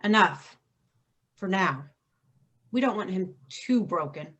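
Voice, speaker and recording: An actress playing a stern middle-aged mother who leads vigilante women in a staged reading, firm and measured. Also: mid-range voice, expressive, fairly bright tone. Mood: commanding.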